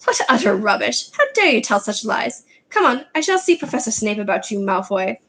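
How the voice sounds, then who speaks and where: A woman voicing a strict older female professor in a storybook reading, sharp and scolding. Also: medium pitch, sing-song, a little fast. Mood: stern.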